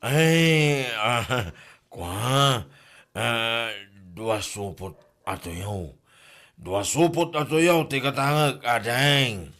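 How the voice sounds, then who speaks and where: A man's voice: medium pitch, expressive, very slow, fairly bright tone. An actor playing a flirty, evasive married man in an Ilocano comedy drama, with an exclamatory, stammering manner and an Ilocano accent. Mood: flustered, flirty.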